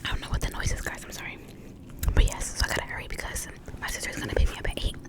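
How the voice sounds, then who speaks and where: An American woman in her twenties telling a casual, chatty ASMR story, slightly short of breath, repeating words softly. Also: very fast. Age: 20s. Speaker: ASMR video host.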